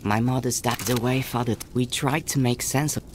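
An actor playing a dutiful, gentle village boy in a video game, speaking in an earnest storybook manner. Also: medium pitch, steady tone, normal speed, noisy background, lightly acted. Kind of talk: scripted video game narration and dialogue.

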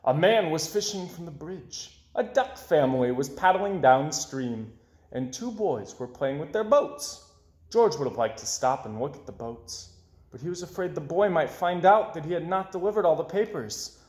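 A young man reading a children's story aloud in an expressive way, with dramatic pauses. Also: on the high side. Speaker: storybook reader. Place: small indoor room with bookshelves. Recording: roomy, echoey indoor sound.

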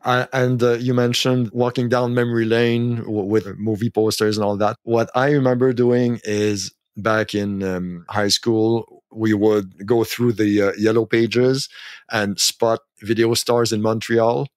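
A man hosting a podcast in casual chat, talking in a relaxed, halting way with many fillers. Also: on the low side, no room sound. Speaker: podcast host.

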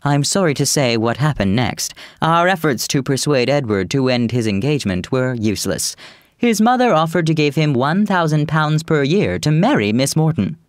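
A woman doing a pompous, low-voiced gentleman. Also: big pitch swings, normal speed, no room sound, studio quality. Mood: grave, pompous. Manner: pompous, grave. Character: stiff, self-important middle-aged gentleman brother.

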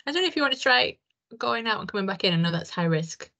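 A woman's voice: medium pitch, expressive, quick pace, bright, forceful tone. A woman hosting an online event, moderating questions in a casual, informal way. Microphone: computer video call. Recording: dull, lo-fi video call audio.

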